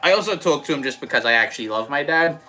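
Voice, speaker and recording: A young man with an Australian accent softened by American, chatting casually and rambling a little as he hosts a livestream and answers comments. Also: a little high, lively intonation, fast talker. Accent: Australian with some American. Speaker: livestream host. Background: background music.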